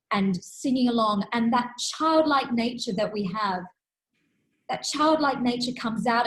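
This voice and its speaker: A woman's voice: fairly high pitch, low-quality recording. A woman giving a keynote talk, telling stories in an earnest, emphatic way. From Australia. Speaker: keynote speaker.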